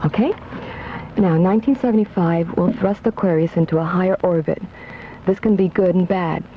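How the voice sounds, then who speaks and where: A woman with a low voice reads out a script as a radio astrologer. The recording is dull, with faint noise, like 1970s AM radio. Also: moderate pace. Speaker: radio show astrologer guest. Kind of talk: scripted horoscope reading. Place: radio studio.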